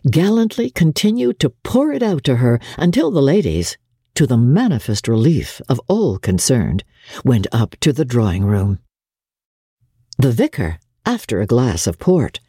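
A woman reading a classic novel aloud as dramatic narration, with measured, expressive storytelling. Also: deep voice, slightly rough, no room sound, studio quality. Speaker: audiobook reader.

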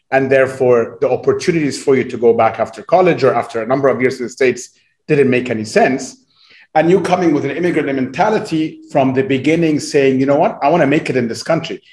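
A man hosts a conversational interview. His mid-pitched voice sounds echoey, as from a live room on a video call. He speaks reflectively, building up long questions. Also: a little mellow. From Egypt. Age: 40s. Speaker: interview host.

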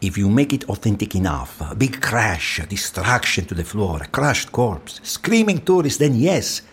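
Centuries-old Venetian, low-pitched husky voice, intense, fatalistic, audio drama. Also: male voice, very animated, moderate pace, heavily acted. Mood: intense, insistent.

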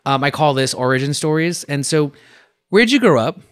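A man hosting a design podcast, reading a scripted introduction and then asking a guest questions in a lively conversational way. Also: a little high, normal speed. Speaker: podcast host. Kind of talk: podcast interview.

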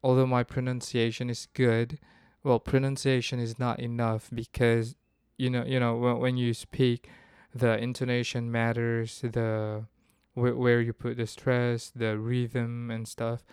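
A man's voice: medium pitch, completely flat delivery, unhurried, a little mellow, clear, resonant tone, dry recording, studio quality. A man from the Philippines, hosting a casual solo podcast and thinking aloud with frequent restarts. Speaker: podcast host.

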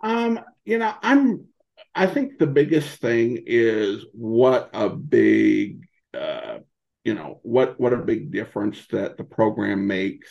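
A man chatting casually as a podcast interview guest, speaking haltingly with repeated false starts. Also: on the low side, big pitch swings, vocal fry, a little mellow, highs cut off.

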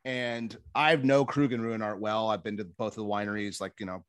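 A man's voice: on the low side, steady tone, fairly quick, a bit bright, no echo, clean studio sound. A man chatting casually in a group as a wine professional, explaining things with self-assurance. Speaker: wine professional and cooking competition contestant.

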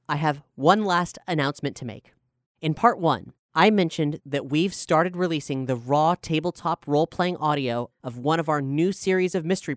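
Guy podcast host doing announcements, voice a bit high, really clear, dry sound. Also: no room sound. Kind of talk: scripted announcement, promotional talk.

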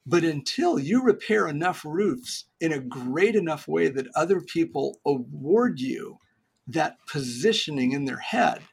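A middle-aged man, a podcast guest, giving business advice in a lively, joking, explanatory way. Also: fairly high pitch, a little slow, vocal fry, breathy edge. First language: English. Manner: animated, jokey explainer.

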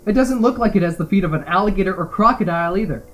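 A man acting in an amateur audio drama as a practical, protective, teasing diver, casual and brisk. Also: high-pitched, a bit bright, slight background noise. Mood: concerned.

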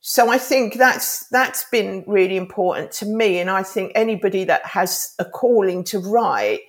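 A middle-aged woman whose first language is Greek speaks as a guest in a relaxed podcast interview. She is earnest and rambling, restarting her thoughts as she goes, at an ordinary pitch. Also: normal speed. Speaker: author and life coach.

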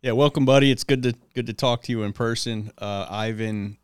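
A man co-hosting a podcast in casual chat, relaxed and rambling with many "like" and "um" fillers. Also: medium pitch, brisk, clean tone, no echo, studio quality. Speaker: podcast co-host.

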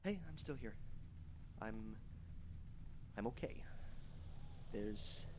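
An actor playing a starving, trapped male survivor in an audio horror drama, desperate and darkly joking, talking in a halting, stammering way between murmurs and strained yells. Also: on the low side, lively intonation. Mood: shaken, resigned. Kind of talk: live audio horror drama, recorded diary monologue. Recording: clearly noisy live stage recording.

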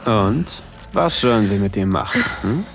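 Gravelly, low actor as a dominant husband. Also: male voice, big pitch swings, a little slow, exaggerated voice. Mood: probing, hungry. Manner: probing, teasing questions. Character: dominant, teasing husband of the woman. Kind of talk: erotic audio drama acting. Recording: music behind the voice.

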